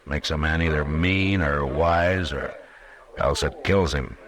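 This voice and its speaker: Weary frontier marshal, bottom-deep voice, laconic, steady; old radio western with background chatter. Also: male voice. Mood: downbeat, easygoing. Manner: laconic, measured. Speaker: actor. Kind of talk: 1950s radio western acting.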